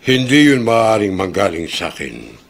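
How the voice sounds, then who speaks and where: An actor playing a solemn, grave messenger of death in a Filipino horror radio drama, speaking firmly and with measure. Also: male voice, fairly low pitch, sing-song, moderate pace, creaky, exaggerated voice.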